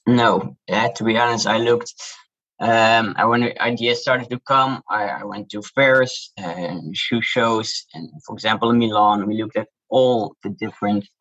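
A man with a Dutch accent, founder of a shoe brand, giving measured, businesslike interview answers. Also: on the low side, steady tone, fairly bright tone, muffled recording. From Netherlands.

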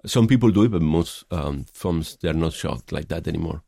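A man's voice: very deep voice, lively intonation, normal speed, creaky, warm tone, no echo, clean studio sound. A middle-aged man from Spain with a Spanish accent, answering interview questions thoughtfully, with many hesitations and fillers. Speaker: film director.

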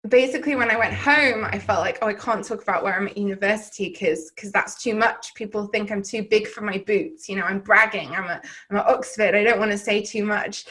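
A woman's voice: medium pitch, fairly even intonation, a little fast, few pauses, a bit bright. Reflective woman with a Southern English accent. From Wales. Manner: reflective, rambling, with frequent fillers and restarts. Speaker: podcast guest. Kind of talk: candid personal storytelling in conversation.

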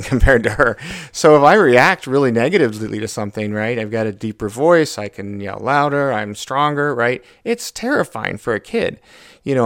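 A middle-aged man speaks thoughtfully in a casual conversation, often pausing on fillers before he makes his point. His mid-pitched voice sounds dry and close, with no room echo. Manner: thoughtful, hesitant, many fillers. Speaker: guest, the host's father.

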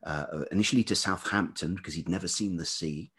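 A man with a velvety voice talking reflectively as an interview guest, thoughtful and halting, often pausing mid-phrase. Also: low-pitched, fairly bright tone, slightly rough. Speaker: podcast guest, writer and life writing teacher.

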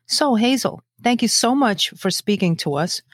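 A woman's voice: a little high, expressive, normal speed, slightly rough, dry recording, studio quality. A middle-aged woman, hosting a podcast in a scripted, playful and teasing way. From Brooklyn, New York; born in Brazil. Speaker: podcast host. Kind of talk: scripted podcast introduction and interview.

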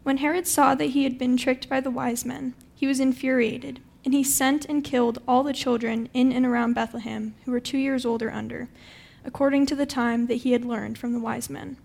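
A woman's voice: sits high, little pitch movement, brisk, gravelly, darkish tone. A woman reading a Bible passage aloud from a script as narration. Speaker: scripture reader.

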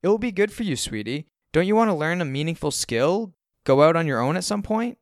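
A man's voice: fairly high pitch, sing-song, a little fast, no echo, clean studio sound, voice pushed up. A man voicing a forcedly cheerful, encouraging mother in an audiobook, placating and upbeat. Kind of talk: audiobook character dialogue.

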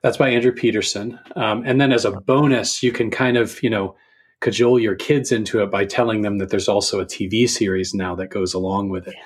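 A man speaking as a guest in a casual podcast chat about books, thinking aloud in a halting, thoughtful way. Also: lowish voice, fairly even intonation, husky.

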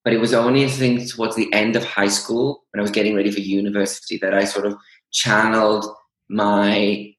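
A middle-aged man raised in Greece, speaking thoughtfully as an interview guest, with frequent pauses and ums. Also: lowish voice, fairly bright tone. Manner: thoughtful, hesitant with many ums. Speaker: guest, fashion branding lecturer. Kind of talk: conversational interview. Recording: echoey room.

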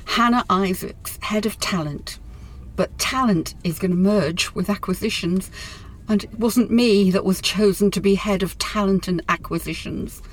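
A woman's voice: medium pitch, unhurried, lightly acted, poor audio. An actress playing a withdrawn, despairing middle-aged career woman, terse and guarded, in a radio drama. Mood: bitter, distraught.

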